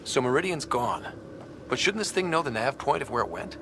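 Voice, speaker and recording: An actor playing a determined young space explorer in a video game, leading a team with an earnest, commanding manner. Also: male voice, medium pitch, very animated, a little fast, background noise. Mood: grim, thoughtful. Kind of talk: video game cutscene acting.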